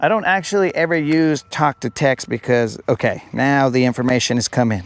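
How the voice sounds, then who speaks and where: A man's voice: mid-range voice, fairly quick. A man hosting a live fishing stream outdoors on a lake. He chats casually, reads viewer comments aloud and often says "bro". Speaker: fishing livestream host.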